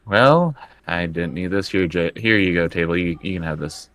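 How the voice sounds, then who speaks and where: A man's voice: low-pitched, expressive, moderate pace, a bit bright. A man playing a tabletop roleplaying game, speaking conversationally and hesitantly, often repeating words. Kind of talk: improvised in-character tabletop play, conversational.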